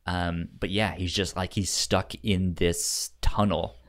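Low-pitched man with a halting stutter. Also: level tone, a bit bright. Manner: halting, stuttering repetitions of sounds and words. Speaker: podcast host. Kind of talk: casual movie discussion.

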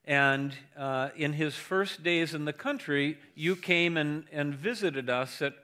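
Man, pastor, measured earnest preaching, slow pace, bright forceful tone. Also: fairly high pitch. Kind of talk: sermon and interview.

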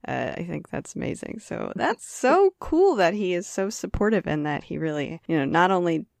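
A woman's voice: fairly low pitch, very animated, normal speed, creaky, no echo, studio quality. A woman hosting a podcast, encouraging and full of praise for her guest. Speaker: podcast host. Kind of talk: podcast interview.

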